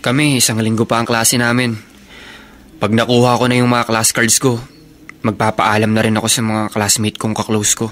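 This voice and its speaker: A man's voice: fairly low pitch, monotone, normal speed, fairly bright tone, close to an everyday voice. A man playing a protective, responsible young elder brother in a radio drama. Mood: resigned. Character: resolute, protective teenage elder brother, hurt but responsible.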